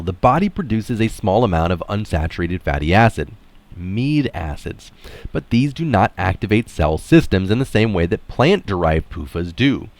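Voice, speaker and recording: A man's voice: low-pitched, no echo. A man reading an article aloud and adding informal, conversational comments of his own. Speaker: reader and commentator.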